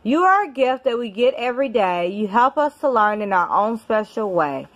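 A woman reading a children's picture book aloud at home in an expressive, deliberate storybook manner. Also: on the high side. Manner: measured, deliberate storybook delivery. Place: indoors at home.

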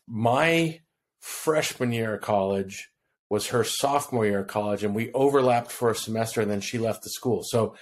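A man from Hawaii in his fifties, a podcast guest, telling a personal story in a relaxed, rambling way and acting out quotes, on a podcast mic at home. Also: fairly low pitch, moderate pace, a little hiss or hum. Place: home room on video call. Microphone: podcast mic in front of him.

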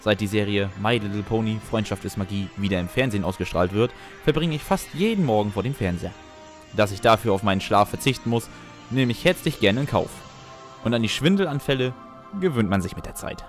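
Low-voiced young man narrating a story. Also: lively intonation, a little fast. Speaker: narrator and reader of a fan fiction. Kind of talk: first-person scripted narration with acted dialogue. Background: background music.

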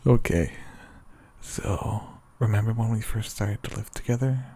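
A young man with a whispery voice speaks softly and sleepily in a teasing boyfriend roleplay, often breaking into quiet laughs. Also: fairly low pitch, fairly even intonation, slow pace, breathy edge. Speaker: ASMR roleplay performer. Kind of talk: soft-spoken ASMR boyfriend roleplay.

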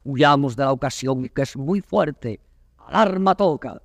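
A man's voice: mid-range voice, lively intonation, a little slow, gravelly, no echo, studio quality. A male actor playing a passionate young prince in theatrical, declamatory classical verse drama. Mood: torn, fervent. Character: passionate young Polish prince torn between dream and honour. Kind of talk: classical Spanish verse stage acting.

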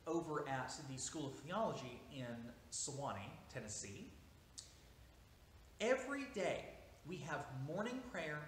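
A man's voice: on the high side, fairly bright tone. A man in his thirties, a priest, giving an informal seated lesson in a small church, explaining at length with fillers and restarts. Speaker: priest teaching a class. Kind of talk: informal lecture. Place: small church interior, seated near a window. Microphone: camera at a few meters. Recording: echoey church room with faint hiss.

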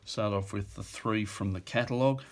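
A man's voice: fairly low pitch, fairly even intonation, moderate pace, a little hiss or hum. A man describing auction items in casual, off-the-cuff commentary, musing with fillers and self-corrections, recorded indoors at a table.

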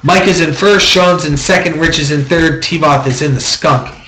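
A man's voice: on the high side, normal speed, background noise. A man hosting a card-break auction, giving methodical, repetitive running commentary on a card deal in a small room. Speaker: host of a trading-card box-break auction. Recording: roomy echo.

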